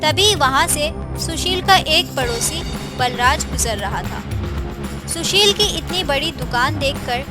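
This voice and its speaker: Female narrator for animated moral tales: very high voice, unhurried scripted reading over music. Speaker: story narrator. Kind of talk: scripted cartoon story narration.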